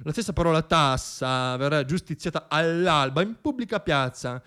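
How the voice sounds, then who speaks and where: A man's voice: fairly high pitch, expressive, moderate pace, bright, forceful tone, clean tone. A man playing a grandiose politician declaiming impossible promises in a satirical radio monologue. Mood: grandiose promising. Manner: declamatory, pompous.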